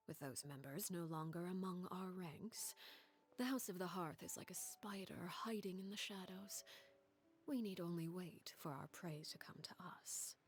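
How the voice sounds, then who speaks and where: A cold matriarch of a secret household speaks in a lowish, measured voice, played by a woman. Her formal words carry quiet menace and unshakable resolve. Soft game music hums behind her. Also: natural voice. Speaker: leader of an organization. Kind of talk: video game voice acting.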